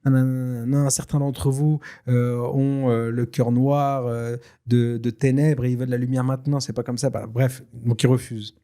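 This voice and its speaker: A man whose first language is Tashelhit, telling a personal story in a casual, lively way with many fillers and restarts. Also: medium pitch, normal speed, hardly any pauses. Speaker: guest telling his story. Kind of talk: casual spoken testimony.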